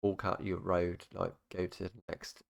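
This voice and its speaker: A man's voice: low voice, completely flat delivery, a little slow, creaky. A young British man, talking casually to camera and rambling through facts, with many fillers and restarts. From United Kingdom. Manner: rambling, full of fillers like "obviously" and "basically". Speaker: YouTuber. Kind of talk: casual explainer monologue.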